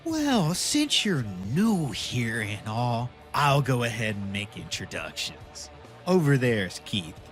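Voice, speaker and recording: A man's voice: mid-range voice, big pitch swings, slow pace, a bit bright, soft background music. A man playing a cocky, menacing twelve-year-old bully in a horror audio drama, taunting with mock politeness. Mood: smug, taunting.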